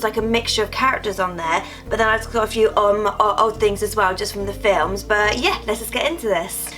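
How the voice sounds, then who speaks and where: A woman's voice: on the high side, fairly bright tone. A woman vlogging casually, a bit nasal from a cold, chatty and rambling with fillers. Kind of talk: casual haul chat.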